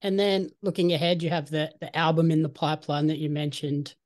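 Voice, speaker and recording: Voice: medium pitch, level tone, brisk, a little mellow. An interviewer asking questions in a friendly, encouraging way.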